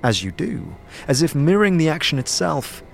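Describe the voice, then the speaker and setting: A man narrating a scripted fantasy audio drama in a measured, dramatic storytelling manner. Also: fairly high pitch, very animated, creaky, slight background noise.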